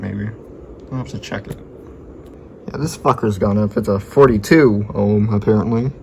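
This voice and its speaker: Muttering, slow-paced man with a gravelly creak. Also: mid-range voice, a little mellow, slight background noise, rough recording. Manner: muttering to himself, musing, casually sweary. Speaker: hobbyist radio repairer filming his work. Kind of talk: casual thinking-aloud repair commentary.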